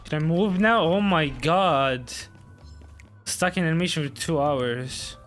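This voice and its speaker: A man gives casual live commentary as he streams a game. His voice is slightly high, and he talks slowly in impatient, sweary mutters. Faint music plays behind him. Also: fairly bright tone.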